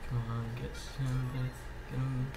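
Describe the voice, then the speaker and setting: A man giving casual, halting gameplay commentary as host of a gaming channel, slightly under the weather. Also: medium pitch, completely flat delivery, loud music behind the voice.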